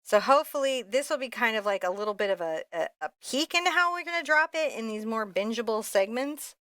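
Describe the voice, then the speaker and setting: A woman's voice: on the high side, animated, brisk, a bit bright, smooth, no room sound. A woman giving a casual step-by-step painting lesson, thinking aloud in a candid, informal way with rambling asides. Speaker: painting instructor.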